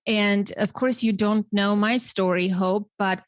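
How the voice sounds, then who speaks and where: A woman's voice: medium pitch, steady tone, a little slow, no room sound. A woman in her forties with an Armenian accent, hosting a podcast in an earnest, emphatic way. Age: 40s. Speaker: podcast host. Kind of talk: podcast introduction and interview talk.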